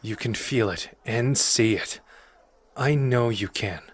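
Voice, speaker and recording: A man's voice: mid-range voice, takes it slowly, husky. A man playing a cocky, playful young sorcerer who is protective underneath, talking casually and intimately to the listener in an ASMR roleplay. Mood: earnest, persuading. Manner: casual, teasing, intimate and conversational.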